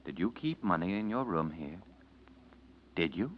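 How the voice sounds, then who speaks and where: An actor playing a sardonic, dryly ironic gentleman in a 1940s radio mystery. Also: low-pitched, expressive, moderate pace, slight background noise. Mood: dry amusement. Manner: dry, ironic, theatrical. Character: sardonic, amused male acquaintance of miserly couple. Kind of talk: 1940s radio drama acting. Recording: muffled vintage radio recording.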